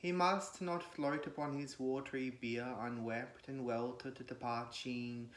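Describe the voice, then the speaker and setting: Slow, echoey man reciting verse. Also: medium pitch, steady tone, fairly bright tone. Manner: solemn, declamatory, measured. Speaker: poetry reader. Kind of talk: dramatic recitation of classical verse. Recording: echoey room.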